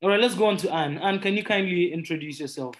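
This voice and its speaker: Higher-voiced man moderating a panel. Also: fast. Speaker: webinar moderator.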